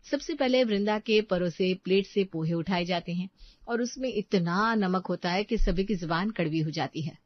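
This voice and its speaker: A woman's voice: fairly low pitch, normal speed, no room sound, lo-fi sound. A woman reading scripted story narration.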